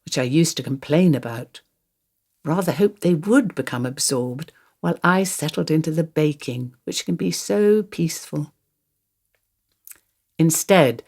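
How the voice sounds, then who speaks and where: A woman's voice: deep voice, animated, a little slow, a little mellow, heavily acted. An older woman acting a radio play monologue as a wistful, wry grandmother confiding her thoughts. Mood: reflective. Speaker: actor reading a monologue. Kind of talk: radio play monologue, first-person storytelling.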